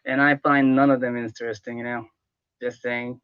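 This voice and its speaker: A man's voice: medium pitch, little pitch movement, normal speed, a bit bright, smooth. Male talk co-host, mid-range voice. Wry, frank, conversational delivery on a slightly muffled, echo-free recording. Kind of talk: casual banter and commentary.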